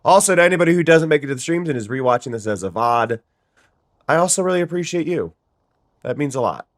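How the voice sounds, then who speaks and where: A young adult streamer, sniffly today, chatting casually with viewers and restarting sentences often. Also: male voice, a little high, lively intonation, quick pace, no room sound, clean studio sound. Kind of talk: casual gameplay chat.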